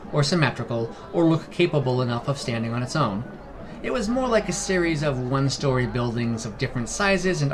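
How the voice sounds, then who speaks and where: A man's voice: medium pitch, noisy background. A man reading scripted fantasy story narration as the narrator of a fiction podcast. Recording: music behind the voice. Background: background music.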